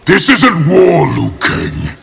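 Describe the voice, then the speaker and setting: A man's voice: a little high, wildly swooping pitch, slow, gravelly, a bit bright, a little hiss or hum, full character voice. A man voicing a hostile, proud warlord general in a video game, aggressive and commanding. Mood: angry, defiant. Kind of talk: video game character dialogue.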